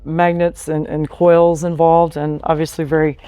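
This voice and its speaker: A man conducting a casual product interview, with hesitant, halting phrasing. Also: on the high side, little pitch movement, mellow, smooth, dry recording.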